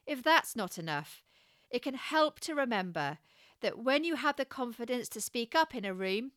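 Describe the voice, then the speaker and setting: A woman with a West Country English accent, giving scripted coaching advice in a measured, encouraging way. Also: on the high side, sing-song, a bit bright, no room sound, clean studio sound. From England. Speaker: communication coach.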